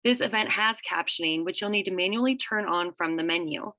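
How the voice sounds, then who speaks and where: A woman moderating a webinar, reading the opening announcements in a brisk, businesslike way. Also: medium pitch, sharp tone, no echo, low-quality recording.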